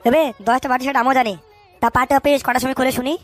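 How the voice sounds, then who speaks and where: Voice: sits high, level tone, racing, a bit bright, soft background music. An actor voicing a bullying, suspicious cartoon political boss, scolding and cursing in street slang. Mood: angry, suspicious. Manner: scolding, hectoring, repeats tag questions, curses constantly.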